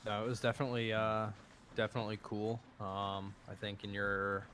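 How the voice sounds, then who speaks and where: A man's voice: on the low side, completely flat delivery, moderate pace, a bit bright. A man co-hosting a casual chat over a remote call, easygoing, his throat scratchy from allergies. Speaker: podcast co-host.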